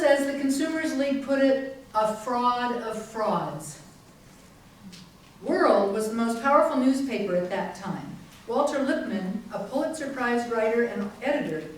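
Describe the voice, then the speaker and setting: A woman playing an indignant investigator, giving pointed, emphatic documentary narration in a readers theater. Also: fairly high pitch. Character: indignant female investigator exposing a company's wrongs. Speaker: community theatre actor. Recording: very echoey room, distant mic, faint noise.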